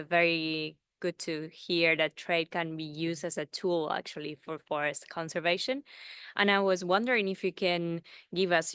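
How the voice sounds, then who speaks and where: A young woman speaks in a low, smooth voice with very little room sound. She is a student asking a question at a conference. Her way of talking is courteous and appreciative. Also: a bit bright, no room sound, studio quality. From Argentina. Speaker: doctoral student asking from the audience.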